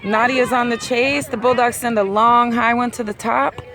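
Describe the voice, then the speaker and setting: A woman doing play-by-play of a youth soccer game outdoors, hoarse and losing her voice. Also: on the high side. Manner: rapid play-by-play, rising excitedly at key plays. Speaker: amateur sideline commentator. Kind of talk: play-by-play commentary. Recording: outdoor crowd and traffic noise.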